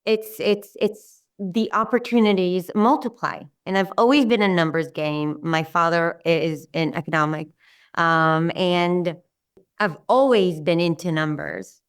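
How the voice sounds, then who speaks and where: A middle-aged Israeli woman whose first language is Hebrew, talking as an interview guest in a warm, rambling way with many restarts. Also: on the low side, steady tone, unhurried, clear, resonant tone, distant mic. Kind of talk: casual interview talk.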